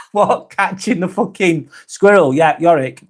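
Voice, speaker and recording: A man's voice: high voice, lively intonation, takes it slowly. An English man hosting a live vlog, chatting casually with viewers, often correcting himself and laughing easily. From England. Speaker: live vlog host reading chat. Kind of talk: casual livestream chat.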